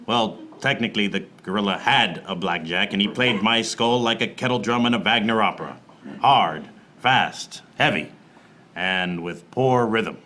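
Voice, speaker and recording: An actor playing a wry, beleaguered private eye, narrating a noir radio play in a deadpan manner. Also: male voice, lowish voice, normal speed, slight background noise. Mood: wry, exasperated. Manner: deadpan, fourth-wall-breaking.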